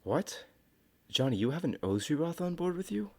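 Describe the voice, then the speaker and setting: A man's voice: fairly high pitch, very animated, normal speed, warm tone, dry recording. An actor playing an easygoing, world-weary male rebel fighter in an audio drama. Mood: friendly, surprised.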